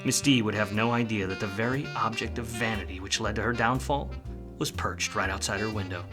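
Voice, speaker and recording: An actor playing a wry, world-weary hardboiled private detective in a 1940s film noir radio play, full of slang. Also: male voice, on the low side, a little fast, over music, close to an everyday voice. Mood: wry, reflective.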